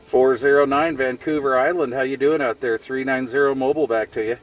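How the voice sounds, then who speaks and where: A man makes casual CB radio small talk in easygoing slang, joking in a folksy way. His voice sits at a middle pitch. The mic is some way off, and a light noise runs behind him. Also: steady tone, brisk. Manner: folksy, jokey. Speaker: CB radio operator filming a vlog. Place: outdoors in a vehicle on a hillside. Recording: distant mic with background noise.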